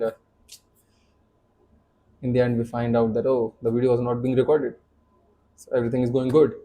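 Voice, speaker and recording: A man's voice: mid-range voice, dark tone. A young man with an Indian accent, whose first language is Hindi, giving a casual spoken-English lesson to camera, earnest and full of repeats and fillers. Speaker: English teacher.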